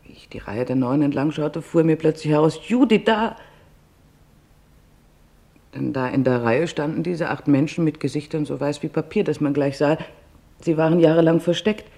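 Audiobook role: anguished woman camp survivor read by a man, earnest and vivid, slightly high for a male register, gravelly creak. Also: moderate pace, mellow. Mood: urgent remembering. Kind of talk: audiobook testimony narration.

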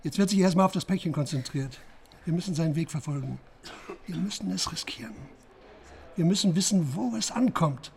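A man's voice: fairly high pitch, animated, brisk, gravelly, a little mellow, slight background noise. A man playing a commanding, driven police chief in a radio crime drama, urgent and insistent. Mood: determined.

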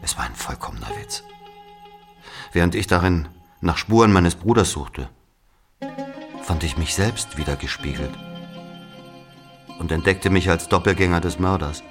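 A man's voice: low-pitched, animated, normal speed, pauses often, over music. A man playing a brooding Algerian narrator who recounts his life in a literary audio drama. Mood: shaken, brooding. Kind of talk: dramatic first-person narration.

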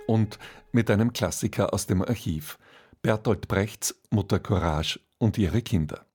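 A man presenting on radio, reading a scripted introduction to a play in a slightly husky voice. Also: lowish voice, a little slow, a little mellow, faint music behind.

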